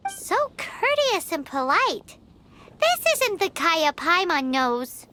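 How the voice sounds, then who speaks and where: A woman voicing a tiny, cheeky, bossy fairy companion in a video game, with a squeaky childlike voice, over music. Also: very animated, slow pace, very noisy, heavily acted. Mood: wry. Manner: speaks of self in third person. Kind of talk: video game character acting. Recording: music behind the voice.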